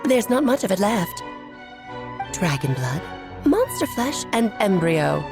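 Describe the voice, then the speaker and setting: An actress playing a poised, playfully inquisitive sorceress hostess in a game, with an elegant, theatrical delivery. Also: female voice, medium pitch, very animated, slow pace. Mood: intrigued. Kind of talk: scripted English game voice acting. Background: soft background music.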